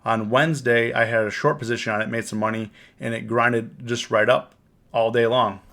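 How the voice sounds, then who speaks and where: A man with a cold, hoarse and rambling, recapping his trades on a casual live stream and often repeating himself. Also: medium pitch, fairly even intonation, normal speed, fairly bright tone. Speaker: options trading live streamer. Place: home, on camera.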